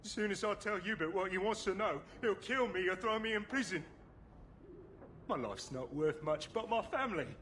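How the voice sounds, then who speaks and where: An actor voicing a desperate, humble miner in a video game, pleading and deferential with rustic turns of phrase. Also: male voice, high voice, animated, moderate pace, fairly bright tone, a little hiss or hum. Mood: sorrowful. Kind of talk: video game cutscene acting.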